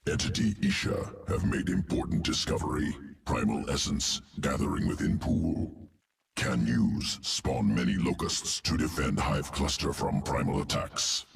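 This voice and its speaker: Super deep croaky bug alien scientist, slow clipped words, cold and picky, game music behind. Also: male voice, expressive, slight background noise, heavily acted. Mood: clinical, detached. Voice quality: deep gravelly creature voice. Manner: clipped telegraphic speech without articles. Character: male alien insectoid evolution-master, clinical, terse, cold perfectionist. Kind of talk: sci-fi video game character acting.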